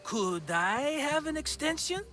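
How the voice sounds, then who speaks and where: A man's voice: extremely high pitch, sing-song, unhurried, sharp tone, faint music behind, full character voice. A man playing a roguish, flustered schemer in an ancient Greek video game, wheedling and theatrical as he blusters along. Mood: nervous, placating. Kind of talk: video game character acting.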